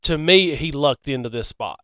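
A man co-hosting a casual sports chat, speaking haltingly and often repeating himself. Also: mid-range voice, expressive, a bit bright, clean tone, dry recording, studio quality. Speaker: podcast co-host.

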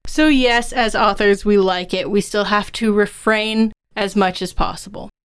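A woman's voice: mid-range voice, steady tone, a little slow, a bit bright, smooth. A woman co-hosting a podcast, discussing and explaining in a conversational style. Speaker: podcast co-host.

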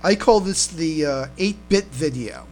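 A man co-hosting a casual fan podcast, speaking haltingly and often repeating his words as he comments. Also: on the high side, expressive, soft background music. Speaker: podcast co-host. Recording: noisy home recording with hum.

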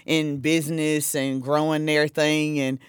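A man's voice: on the high side, flat delivery, unhurried, smooth, dry recording, clean studio sound. A man from South Carolina with a Southern US accent, hosting a casual, agreeable chat and often chuckling. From South Carolina, United States. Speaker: podcast host.

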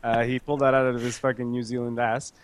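A man's voice: medium pitch, flat delivery, fast talker, heavy background noise. A young man from Canada hosting a gaming podcast in casual, joking chat, swearing and laughing often. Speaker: podcast host.

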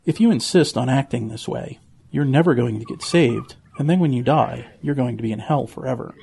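A man's voice: mid-range voice, fairly quick, creaky, mellow, natural voice. A man playing an earnest, preachy pig with an admonishing manner, in a children's audio drama. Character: earnest, preachy male pig with a fussy nervous streak.

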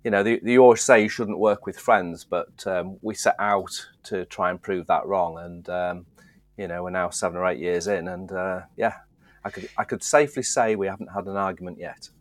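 Middle-aged guest, very low voice, dry close sound, loose rambling delivery. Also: male voice, fairly even intonation, moderate pace, no echo. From Yorkshire, England. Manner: easygoing, rambling, frequent restarts. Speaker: guest, distillery co-founder. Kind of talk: casual interview chat.

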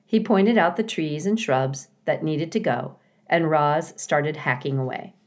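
A woman narrating a children's novel aloud as an expressive storybook reader. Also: sits low, unhurried.

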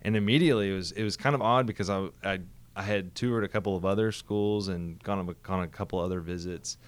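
Laid-back young pastor from oklahoma, really low smooth voice, friendly and chuckly. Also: male voice, fairly even intonation, quick pace. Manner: easygoing, friendly, chuckles often. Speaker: church hospitality pastor. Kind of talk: casual interview chat.

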